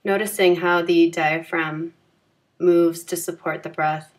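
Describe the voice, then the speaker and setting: A woman leading a guided yoga nidra meditation in a calm, gentle, soothing manner with unhurried phrasing. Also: on the low side, rough recording.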